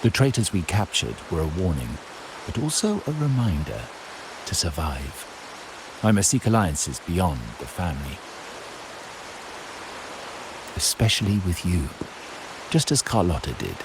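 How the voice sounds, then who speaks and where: Proud scheming mafia heir for a game, really low voice, swooping formal delivery, some hiss. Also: male voice, sing-song. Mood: guarded, grave. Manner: formal, measured, pompous. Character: proud, scheming male heir of a crime family. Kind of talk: video game voice acting.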